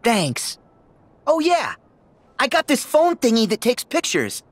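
An actor playing a cheerful, earnest, good-hearted teenage boy hero in an animated video game. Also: medium pitch, takes it slowly, no echo, exaggerated voice. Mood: upbeat.

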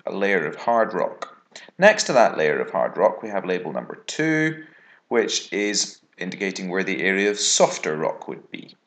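Low-ish british guy teaching geography revision, measured and instructive, voice goes up and down a lot. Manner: measured, instructive, lively intonation. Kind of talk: exam revision lesson narrated over diagrams. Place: voice-over recorded over slides.

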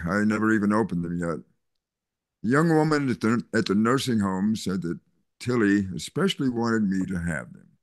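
A man's voice: fairly low pitch, no echo, clean studio sound. An older man reading the part of a kindly small-town pastor at a funeral over a video call. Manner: gentle, patient, mildly scolding. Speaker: Reverend Matthew. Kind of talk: zoom radio-play reading.

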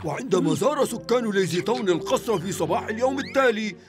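A high-pitched, kindly storytelling mayor. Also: male voice, fast, over music. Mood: dramatic storytelling. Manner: dramatic storytelling, expressive. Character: kindly, excitable older town mayor, storytelling uncle. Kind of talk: dubbed children's TV acting.

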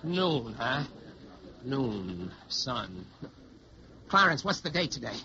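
A man's voice: mid-range voice, sing-song, bright, forceful tone, heavily acted. An actor playing a brash, wisecracking young American ex-serviceman stranded in the past, slangy and cocky, in old-time radio style. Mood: agitated. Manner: slangy, fast-talking, cocky. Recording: dull, faintly noisy old radio recording.

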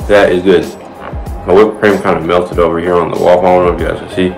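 A man's voice: deep voice, flat delivery, fast, creaky, a little mellow. A young man chatting casually to his viewers while he cooks in a home kitchen, filmed by a camera a few feet away. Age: young adult. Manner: laid-back, chatty, talks to viewers while cooking. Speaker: fitness vlogger. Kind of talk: casual cooking vlog. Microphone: camera set on the counter, a few feet away. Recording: distant camera mic, music behind.

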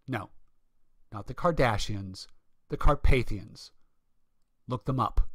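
A man works as a radio show announcer, reading scripted copy. His voice is medium-pitched and recorded close and dry. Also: takes it slowly, a bit bright, dry recording.

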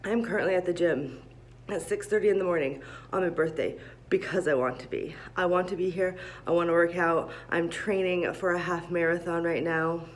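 A woman's voice: fairly low pitch, brisk. A woman in her thirties chatting upbeat and rambling into a phone held close, first in a gym hallway and then in a parked car. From Vermont, USA. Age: 30s. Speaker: vlogger. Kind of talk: casual vlog chat.

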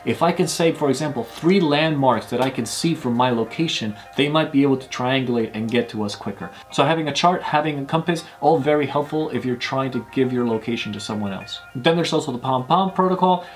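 A man in his thirties giving clear, step-by-step instruction to camera as a video host. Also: medium pitch. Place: small indoor studio room.

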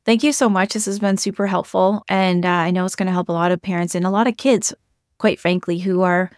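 A woman's voice: fairly low pitch, steady tone, fairly quick, darkish tone, no echo, studio quality. A woman in her forties hosting a conversational interview over a video call from a home office. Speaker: podcast host.

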